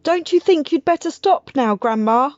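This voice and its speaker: Woman as an earnest, high-voiced young boy. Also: expressive, moderate pace, darkish tone, dry recording, dull audio, soft background music. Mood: pleading, alarmed. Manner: pleading, protesting.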